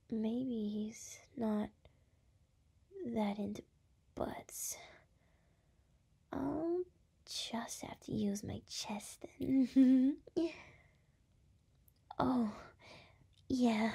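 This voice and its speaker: Woman acting a slow, breathy, brash young gal. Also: on the high side, warm tone, exaggerated voice. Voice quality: breathy, often dropping into whispers. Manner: halting, teasing, exasperated. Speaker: voice actress. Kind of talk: ASMR roleplay.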